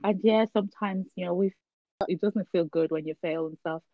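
A woman's voice: fairly low pitch, normal speed, no echo, narrow, tinny audio. A woman chatting candidly in a casual conversation, livening up as she tells anecdotes.

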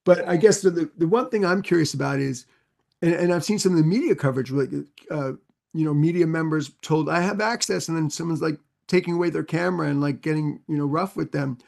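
Male co-host, very gravelly voice with lots of fry, slightly high, hesitant and questioning. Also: fairly quick, darkish tone. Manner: hesitant, many fillers and restarts, asks questions. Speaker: podcast co-host. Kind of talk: casual chat.

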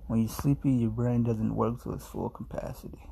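A man's voice: mid-range voice, fairly even intonation, fairly quick, gravelly, mellow, slight background noise. A man in his twenties talking to camera in a laid-back, meandering, self-mocking way, sounding sleepy. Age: 20s. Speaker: vlogger. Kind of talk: rambling casual monologue to camera.

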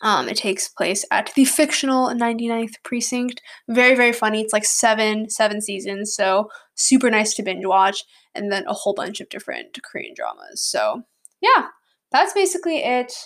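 A woman's voice: medium pitch, big pitch swings, normal speed, vocal fry, a bit bright. A young woman hosting her own podcast, chatting casually and rambling with many likes and ums. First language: English. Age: young adult, student. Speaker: podcast host. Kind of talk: casual solo podcast chat.

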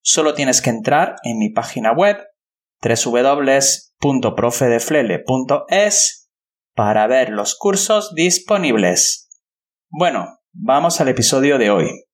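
A man from Andalusia with a Spanish accent from Spain, hosting a language-learning podcast and speaking in a clear, instructional way. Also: medium pitch, very animated, slow. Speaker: podcast host and language teacher. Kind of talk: scripted podcast introduction and interviewing.

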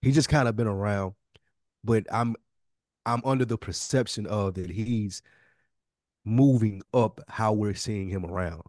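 A man's voice: on the low side, unhurried, long pauses, a little mellow, no room sound, clean studio sound. A man co-hosting a podcast, talking informally as he thinks aloud. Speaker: podcast co-host. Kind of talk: casual chat.

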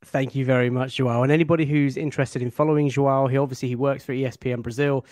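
A man hosting a football analysis show, introducing his guest and asking measured questions. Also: mid-range voice, fairly even intonation, darkish tone, dry recording, studio quality. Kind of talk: presenting and interviewing.

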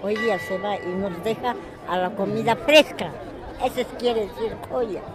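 A woman's voice: medium pitch, normal speed. A woman, talking casually in a street interview. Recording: loud music and crowd behind.

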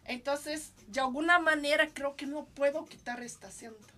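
Brazilian lady hosting, high voice, jokey and loud, swears a lot. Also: moderate pace, noisy background. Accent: Brazilian Portuguese. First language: Portuguese. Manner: playful, loud, joking, swears casually. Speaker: host. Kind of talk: casual chat.

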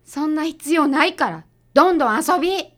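A woman's voice: high-pitched, expressive, brisk, a bit bright. A woman with a Kansai accent playing a feisty, outspoken detective's assistant in a radio drama, blunt and casual. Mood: encouraging.

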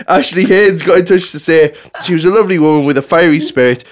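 Male podcast host, slightly high pitch, casual bantering delivery, prone to laughing through what he reads. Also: fast. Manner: giggly, often breaking into laughter while reading. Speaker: podcast host reading out listener submissions.

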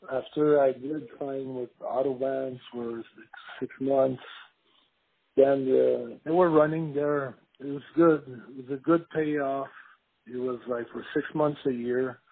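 Husky Québécois man on a phone line. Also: medium pitch. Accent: Quebec French. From Montreal, Canada. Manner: rambling, many "you know" fillers and restarts. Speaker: former pro wrestler, guest. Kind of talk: casual interview storytelling.

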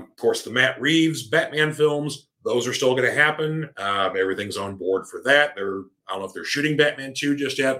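Male host, bright tense tone, slightly hoarse and worn, rambling casual chatter. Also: mid-range voice. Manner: rambling, with many fillers and restarts. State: voice tiring, a little hoarse. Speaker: podcast host. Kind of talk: casual solo chat reading listener comments. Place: home room with a cat nearby.

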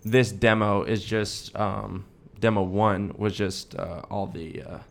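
A man's voice: fairly low pitch, fairly even intonation, slow pace, vocal fry, a little hiss or hum. A young man co-hosting a podcast, chatting casually in an informal, slangy way. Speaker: podcast co-host. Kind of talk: casual chat.

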